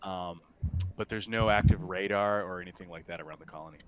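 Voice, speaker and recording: A man acting as game master, describing scenes in a halting, improvised way during a tabletop roleplaying game. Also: deep voice, dull audio, a little hiss or hum.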